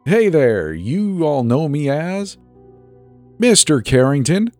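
A man's voice: on the high side, sing-song, slow pace, darkish tone, soft background music, pitched above the performer's own voice. A man voicing a cocky, defiant teenage boy, mocking and breezy, in a dramatic story reading.

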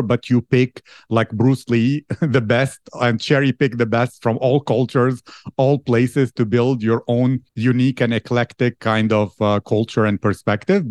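A man's voice: medium pitch, a little mellow, no room sound. A man hosting an interview, summarizing his guest's answers and asking thoughtful, probing questions. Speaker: podcast host.

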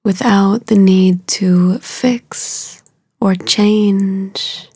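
A woman guiding a meditation in a soothing, hushed way, soft and breathy at the ends of phrases, with unhurried, drawn-out phrasing. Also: mid-range voice, steady tone, no room sound, studio quality. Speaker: meditation guide. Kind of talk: guided meditation.

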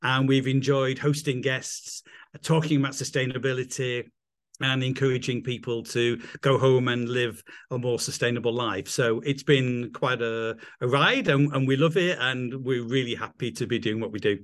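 A man's voice: mid-range voice, fairly even intonation, moderate pace, a bit bright. Cheerful middle-aged Englishman. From England. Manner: enthusiastic, rambling with restarts. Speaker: guest. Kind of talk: casual interview chat.

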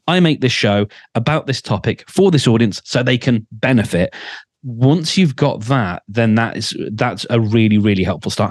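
A man's voice: lowish voice, dry recording, clean studio sound. A man, a podcast mentor, explaining thoughtfully with many fillers. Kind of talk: advice in a podcast conversation.

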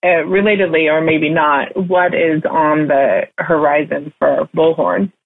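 A woman's voice: sits low, unhurried, dry recording. A woman hosting an interview podcast, putting thoughtful questions to a guest, heard over a dry, tinny phone-like line.

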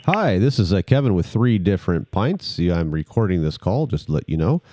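A man's voice: sits low, very animated, a little fast, mellow, a little hiss or hum. A man hosting a casual beer-tasting podcast, easygoing and prompting his guests with questions. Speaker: podcast host.

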